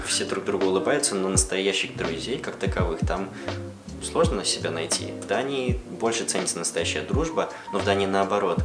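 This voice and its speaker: A young man casually answering viewer questions in a relaxed, chatty way. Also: deep voice. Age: young adult. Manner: relaxed, chatty, switches between Russian and English. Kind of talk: casual Q&A vlog talk. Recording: roomy echo with music behind.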